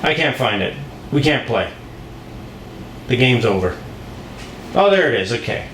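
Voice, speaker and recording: A man's voice: medium pitch, sing-song, a little slow. A man presenting an informal, unscripted board game tutorial, rambling and easily distracted, breaking off into asides. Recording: roomy echo, clear background noise.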